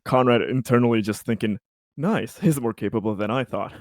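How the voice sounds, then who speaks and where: A man's voice: medium pitch, a little fast, no room sound, clean studio sound. A man playing a tabletop roleplaying game, casually and with amusement describing what his character does. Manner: amused, laughs readily, swears.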